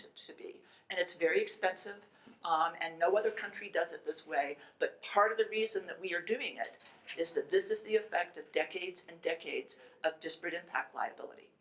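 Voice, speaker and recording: A woman speaking as a panelist in a measured, halting way as she explains a point. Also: medium pitch, fairly bright tone, low-quality recording. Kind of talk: explanatory remarks at a panel discussion.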